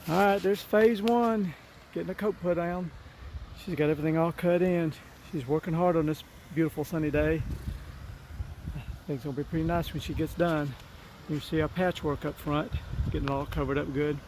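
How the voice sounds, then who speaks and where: A fairly high-voiced man talks through a roof repair in a folksy, rambling way, restarting his sentences as he works. His voice is rough from a cold. A faint hiss sits behind him outdoors. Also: steady tone, moderate pace, darkish tone, low-quality recording. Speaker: DIY vlogger. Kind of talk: casual narration while working.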